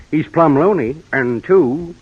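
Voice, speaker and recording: An actor playing a folksy, simple, comic cowboy sidekick with a rustic Western American accent in an old-time radio western. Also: male voice, fairly high pitch, expressive, takes it slowly, husky, heavily acted. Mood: puzzled, suspicious. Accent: rustic American West. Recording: dull lo-fi vintage radio recording.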